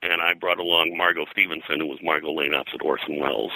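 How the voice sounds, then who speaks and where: Older male interview guest, low forceful voice, rambling anecdotal historian. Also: fairly even intonation, quick pace, no echo, low-quality recording. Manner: rambling, digressive, hesitant.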